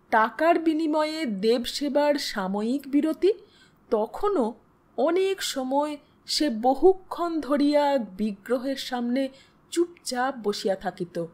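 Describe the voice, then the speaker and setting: Expressive high-voiced woman storyteller. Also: slow pace. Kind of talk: expressive literary audiobook narration.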